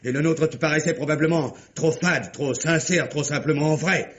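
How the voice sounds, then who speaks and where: An actor playing a betrayed, vengeful husband in a French radio melodrama, theatrical and sarcastic in delivery. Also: male voice, a little high, moderate pace, fairly bright tone, close to an everyday voice. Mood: bitter sarcasm. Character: betrayed bourgeois husband, bitter, jealous, vengeful. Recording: dull old radio drama recording.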